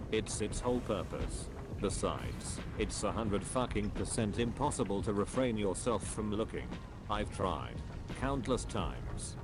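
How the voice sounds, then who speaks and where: A man reading forum posts aloud at a flat, even reading pace. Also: fairly low pitch, background noise. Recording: music playing loudly behind.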